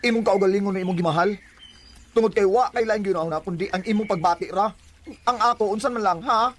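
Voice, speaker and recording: Lalaki nga nag-arte og emosyonal nga bayot sa dramang Bisaya, may gamayng saba. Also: male voice, sits high, quick pace, natural voice. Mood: exasperated. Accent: Cebuano Bisaya. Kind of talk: Bisaya radio drama acting. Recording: faint background noise.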